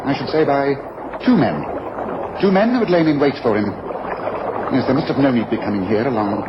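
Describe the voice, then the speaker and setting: A man playing a brisk, observant, commanding gentleman detective in a radio drama, speaking in an analytical, precise way. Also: medium pitch, animated, close to an everyday voice. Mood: keen, deductive. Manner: analytical, precise, deliberate, authoritative. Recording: muffled, faintly noisy radio drama recording.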